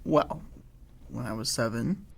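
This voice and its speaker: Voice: medium pitch, unhurried, gravelly. An actor voicing Billy, an earnest, disillusioned young alien hunter with a wounded air, in an audio drama. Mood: hesitant, confiding. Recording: music under the voice.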